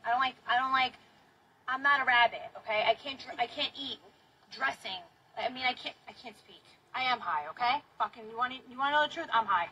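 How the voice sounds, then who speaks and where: High-pitched, bright-voiced woman. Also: brisk, poor audio. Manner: blunt, profane. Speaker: livestream host. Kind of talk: casual livestream chat. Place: inside a car. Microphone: phone at arm's length. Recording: car interior with noise.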